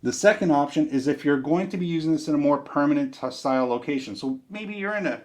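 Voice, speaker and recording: A man's voice: on the high side, fast. A man giving a casual product demonstration, explaining step by step in a conversational, folksy way.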